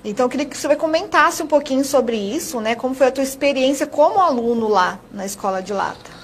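A woman's voice: high-pitched, expressive, fast talker, slight background noise. A Brazilian woman asking conversational questions as the interviewer on a television programme.

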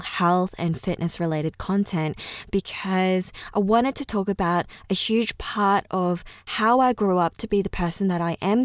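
A woman's voice: mid-range voice, no echo, clean studio sound. A young woman hosting her own podcast, talking candidly and reflectively about growing up. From Australia. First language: Cantonese or Chinese. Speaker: podcast host. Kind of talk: casual personal podcast monologue.

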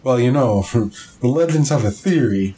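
A man's voice: medium pitch, measured pace, slight background noise. A man co-hosting a casual podcast, joking and acting out mock quotes as he talks. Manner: animated, jokey, acts out mock quotes. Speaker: podcast co-host. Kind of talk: casual chat.

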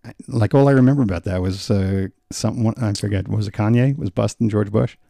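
Gravelly, husky man joking around. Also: fairly low pitch, a little fast, mellow, no room sound, studio quality. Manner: joking, teasing, laughs often. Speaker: podcast co-host. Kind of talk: casual chat, quiz questions.

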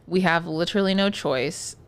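Frank, lower-pitched woman co-host. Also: moderate pace, smooth. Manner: frank, blunt, trails off into musing. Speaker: podcast co-host. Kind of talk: casual podcast discussion.

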